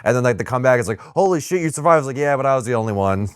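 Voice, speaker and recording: A man's voice: medium pitch, animated, rapid-fire, smooth, dry recording, studio quality. A man co-hosting a podcast, talking casually about movies in a loose, rambling way. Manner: rambling, heavy use of "like". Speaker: podcast co-host.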